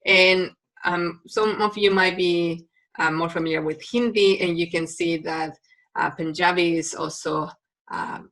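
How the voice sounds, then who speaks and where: A woman's voice: fairly low pitch, fairly even intonation, measured pace, fairly bright tone, poor audio. A woman from Mexico with a Mexican Spanish accent and Spanish as her first language, giving a recorded biology lecture with frequent ums and restarts.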